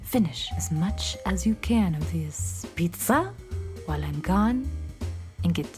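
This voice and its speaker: A young beatnik cat-girl played by a woman talks slowly and deliberately, cool and a little reluctant. It is children's radio theater, with music under the voice. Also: lowish voice, lively intonation, darkish tone. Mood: apologetic, calm.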